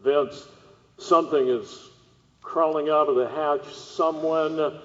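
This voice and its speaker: A man's voice: on the high side, steady tone, slow, fairly bright tone. A man acting as a panicky, stammering field reporter at an alien attack in a radio drama. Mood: awestruck, overwhelmed. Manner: breathless, stammering, repeats himself. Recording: dull reverberant lo-fi audio.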